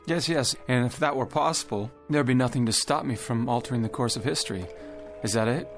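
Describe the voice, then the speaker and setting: Man acting mid-pitched skeptical gentleman. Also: fairly quick, lightly acted. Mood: doubtful. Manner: reasoning, exacting. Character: skeptical, logical male gentleman arguing precisely. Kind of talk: radio drama acting. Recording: music under the dialogue. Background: music.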